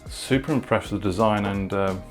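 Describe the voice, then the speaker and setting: A man's voice: fairly low pitch, a little fast, over music. A man talking casually through a product unboxing and review in an informal way, with short asides.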